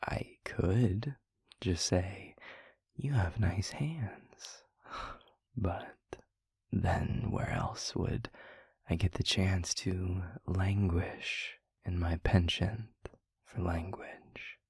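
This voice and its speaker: A tender demon lover acted by a man murmurs very slowly, up close in a dry, intimate audio roleplay. The voice is breathy and very husky, and the phrasing is eloquent and florid, with a wry, devoted temper. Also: deep voice, big pitch swings, darkish tone, dry recording, full character voice. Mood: teasing, admiring. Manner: slow, florid, deliberate phrasing.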